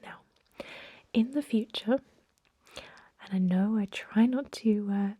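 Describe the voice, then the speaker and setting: Softly whispering woman, gentle ASMR doctor. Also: medium pitch, takes it slowly, no echo. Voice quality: breathy whisper. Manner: gentle, soothing, hesitant bedside patter. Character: gentle, reassuring female vampire emergency doctor. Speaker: ASMR roleplayer playing a vampire doctor. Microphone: close microphone.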